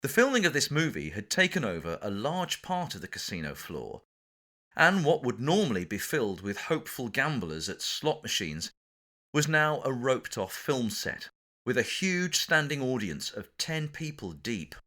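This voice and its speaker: A man's voice: mid-range voice, very animated, moderate pace, bright, forceful tone. A man with an English accent reading his own memoir for an audiobook, telling the anecdote with theatrical, dramatic emphasis. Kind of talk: audiobook narration, scripted anecdote.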